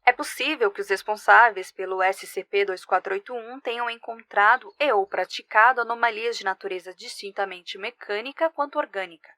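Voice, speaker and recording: A woman's voice: medium pitch, brisk, few pauses, sharp tone, dry recording, lightly acted. A woman voicing a senior history researcher, reading scripted research notes in a formal, analytical manner. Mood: measured, analytical. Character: female senior history researcher, scholarly and measured. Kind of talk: scripted report narration.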